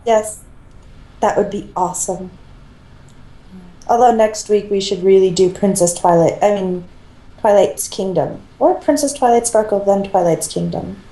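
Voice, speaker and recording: Female stream co-host, relaxed mellow tone, casual delivery, roomy sound. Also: medium pitch, a little slow. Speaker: co-host of a fan fiction reading stream. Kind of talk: casual chat. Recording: roomy, echoey home recording.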